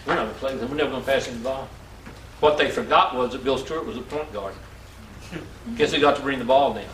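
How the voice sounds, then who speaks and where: A man's voice: medium pitch, quick pace. A man, telling folksy personal stories to an audience in an inspirational talk, acting out the dialogue. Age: 60s. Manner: folksy, animated storyteller with repetition and acted-out dialogue. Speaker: speaker telling his life story to an audience. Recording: roomy, distant mic, faint background noise.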